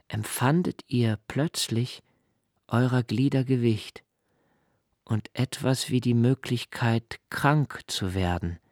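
An actor playing a brooding, introspective young male diarist, narrating a literary radio play in a slow, hushed, measured way. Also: fairly low pitch, no echo, studio quality. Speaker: first-person narrator.

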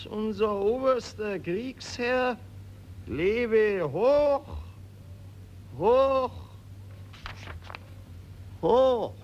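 An actor playing a pompous, slow-witted archduke in a radio play, speaking in halting, ponderous phrases. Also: male voice, sits high, gravelly, a little hiss or hum, heavily acted. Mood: solemn.

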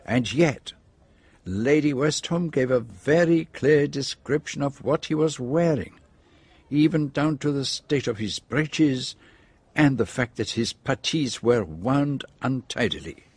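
A detective from a radio drama, revealing a case point by point. His voice is middling in pitch with a little husk to it. He is deliberate and theatrical, fond of the well-placed pause. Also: expressive, breathy edge, no echo, exaggerated voice. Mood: measured, explanatory. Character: methodical, theatrical male detective revealing a murder.

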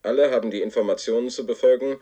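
A man performs a stern, authoritarian wartime propaganda announcer from a 1970s radio play. His voice is rough-edged and declamatory, delivering orders in clipped, official phrases. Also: mid-range voice, fast, mellow, lightly acted. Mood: cold, official.

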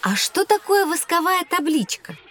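A woman's voice: very high-pitched, moderate pace, faint music behind, heavily acted. A woman playing an eager, inquisitive young child who wonders at things in an educational audio drama. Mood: wondering. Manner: wondering, animated.

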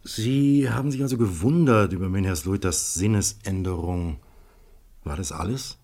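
An actor playing a courteous, probing Dutch police detective in a radio crime drama, speaking politely and deliberately with small hesitations as he questions. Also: deep voice, darkish tone. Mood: businesslike.

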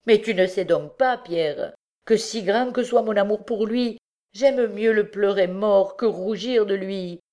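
A woman's voice: low-pitched, measured pace, darkish tone. A woman voicing a desperate working-class mother, horrified and fiercely defiant, in an exclamatory, pleading way. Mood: outraged, horrified.

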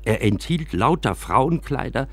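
A man reading a first-person literary narrative in an expressive storytelling manner. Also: medium pitch, unhurried, slightly rough, no room sound. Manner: expressive, acts out quoted dialogue. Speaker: narrator and reader of a memoir.

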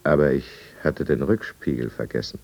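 An actor playing a remorseful drinker narrating his own downfall in a 1950s German radio drama, measured and brooding. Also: very deep voice, gravelly, a little mellow, full character voice. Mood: confessional, tense. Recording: dull, muffled 1950s radio recording.

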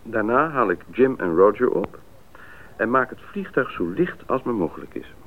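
An actor playing a decisive expedition pilot in a Dutch radio drama, speaking in a deliberate, businesslike way. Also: male voice, on the low side, animated, a little hiss or hum. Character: decisive male expedition pilot leading the planning. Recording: muffled narrowband radio play recording.

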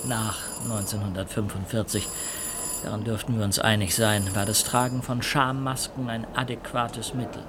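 An actor in a radio play as a cultured, haughty gentleman musician with a dryly judgmental manner. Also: lowish voice, level tone, moderate pace, background noise, lightly acted.

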